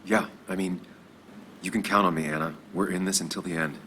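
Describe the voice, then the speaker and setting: Man in his thirties, deep voice, brooding, loyal, measured game acting, light ambient noise. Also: steady tone, bright, forceful tone. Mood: reassuring. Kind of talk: naturalistic video game acting.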